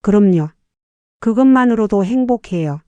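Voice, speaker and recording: A humble old woman who collects scrap paper, put on by a male narrator, speaks in a thin, high voice and sounds gently grateful. Her voice sits far above the narrator's own low register. The recording is dry, with no room sound. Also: animated, moderate pace, smooth, no room sound, highs cut off.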